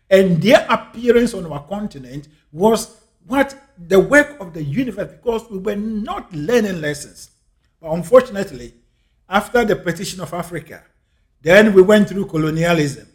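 A man with a Ghanaian English accent speaks in a fairly high voice. He talks in an earnest, reflective monologue and circles back to repeat his key phrases for emphasis. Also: darkish tone. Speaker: speaker on life and scripture.

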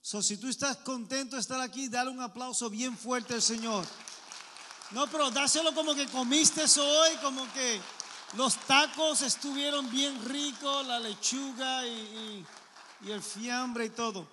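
A man's voice: extremely high pitch, fairly even intonation, measured pace, big-room sound, noisy background. A Puerto Rican man preaching informally to a men's meeting, chatty and joking, often asking the crowd questions. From Puerto Rico.

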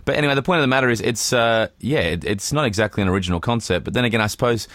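A man's voice: mid-range voice, rapid-fire, fairly bright tone, dry recording, clean studio sound. A man co-hosting a podcast in casual, unscripted chat, explaining things and giving his opinions. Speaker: podcast co-host.

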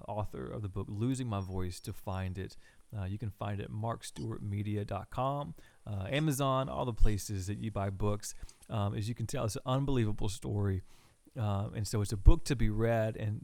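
Host, male, lowish gravelly voice, affirming with quick asides. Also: a little mellow. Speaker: talk show host. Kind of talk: casual interview wrap-up.

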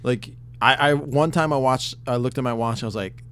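A man co-hosting a casual podcast chat, talking in an excitable, rambling way with lots of fillers and swearing, his voice getting worn. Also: mid-range voice, normal speed, slight background noise. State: losing his voice. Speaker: podcast host.

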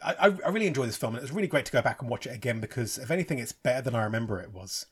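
Husky man, English-born, rambling casual film review, frequent ums and restarts. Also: mid-range voice, animated, very fast. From England. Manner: rambling, many fillers and restarts. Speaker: film podcast host.

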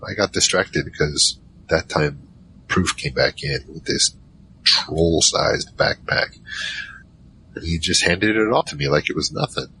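A man's voice: deep voice, big pitch swings, measured pace, creaky, noisy background, exaggerated voice. A man playing a wary team member who narrates an audio drama in a rambling, wry, conversational way. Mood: wry storytelling. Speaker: narrator and lead character.

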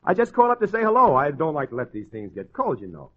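A man's voice: fairly high pitch, huge pitch swings, quick pace. A man playing a cocky, wisecracking small-time gangster and ladies' man in a 1940s radio crime drama, with slangy tough-guy talk. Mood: casual, lively. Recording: muffled old radio broadcast.

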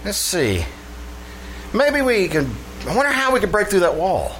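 A man's voice: sits high, wildly swooping pitch, normal speed, a bit bright, exaggerated voice, low-quality recording. An actor playing a scheming, blustering tavern manager who mangles his English in a folksy, pompous way, in old-time radio comedy style. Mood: eager, self-important. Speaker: troupe actor. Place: radio theater stage. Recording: live stage show, faint noise.

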